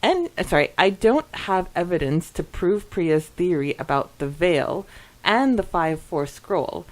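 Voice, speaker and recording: A woman's voice: on the low side, expressive, a little slow, poor audio. A woman co-hosting a podcast, talking casually and agreeably as she discusses fan topics and reads listener comments. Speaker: podcast co-host.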